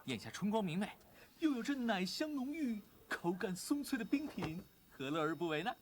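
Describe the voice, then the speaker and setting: A playful young disciple in an animated drama, with a high, lively voice that swings freely. He is breezy, teasing and theatrical, over faint background noise. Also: normal speed. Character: carefree, cheeky, playful young male disciple.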